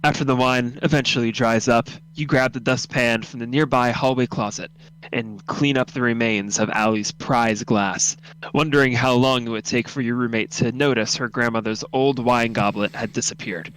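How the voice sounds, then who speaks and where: A man's voice: mid-range voice, steady tone, moderate pace, fairly bright tone, clean tone, rough recording. A young man who hosts and narrates an amateur radio drama, moving between casual chat and scripted story reading.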